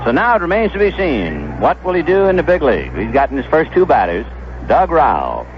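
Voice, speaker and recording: Male American baseball announcer, lively swooping intonation, conversational storytelling, play-by-play commentary, dull vintage radio sound, faint noise. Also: brisk. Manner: conversational, descriptive storytelling between pitches. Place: ballpark broadcast booth. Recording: dull, noisy vintage 1970s radio broadcast.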